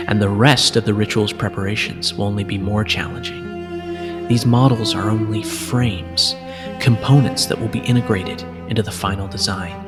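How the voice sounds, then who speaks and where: A man reading a fantasy story as scripted dramatic narration, in a solemn, measured storytelling manner. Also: on the low side. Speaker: narrator reading fan fiction. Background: music.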